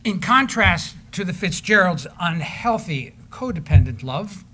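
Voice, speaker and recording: A man presenting staged readings to an audience with formal, deliberate scripted narration, some noise in the recording. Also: sits high, sing-song, pushed and bright.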